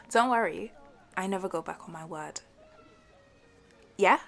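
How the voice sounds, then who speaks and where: A woman's voice: lowish voice, lively intonation, gravelly, a bit bright, heavily acted. A young woman playing a sporty, competitive childhood best friend in an audio roleplay, with a playful, teasing, slightly hesitant manner. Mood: playful, challenging. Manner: playful, teasing, with hesitant halting starts and giggles. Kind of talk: audio roleplay acting, one-sided conversation with listener.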